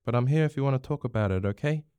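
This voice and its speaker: Storybook father, voiced by a narrator man: New Zealand accent, caring, soft, reassuring, smooth. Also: medium pitch, racing, no room sound, close to an everyday voice. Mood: gentle, concerned. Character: gentle, caring father of a young girl.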